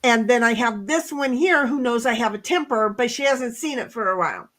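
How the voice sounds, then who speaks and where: A middle-aged woman with a loud voice and a fairly high pitch tells a story in a casual chat. Her manner is blunt and animated, with swearing mixed in. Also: brisk. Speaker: co-host.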